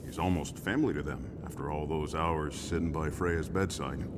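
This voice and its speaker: A man's voice: a bass voice, normal speed, background noise. An actor playing a genial, world-weary small-town male barkeeper in a video game drama, folksy, easygoing and wry. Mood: helpful, guarded.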